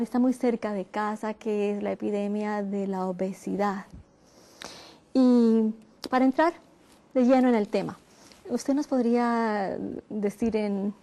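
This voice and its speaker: Warm, earnest TV host woman. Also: medium pitch. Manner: gentle, earnest, drawn-out emphasis. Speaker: TV health show host. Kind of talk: hosting, interviewing.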